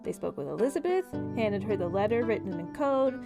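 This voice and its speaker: A woman's voice: mid-range voice, big pitch swings, a little fast, vocal fry, over music. A woman hosting a tabletop game as game master, chatting casually and narrating to her players.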